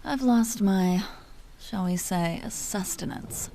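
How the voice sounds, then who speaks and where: A woman playing an elegant vampire duchess in an audio roleplay, speaking softly with formal, languid, teasing courtesy and an amused, predatory air. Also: medium pitch, slow, a little hiss or hum, low-quality recording. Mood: poised, weary. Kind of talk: dramatic roleplay audio performance.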